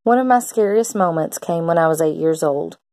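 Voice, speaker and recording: A woman's voice: lowish voice, normal speed, dark tone, dry recording, clean studio sound. A woman reading a children's picture book aloud like a teacher, expressively acting out the characters' lines.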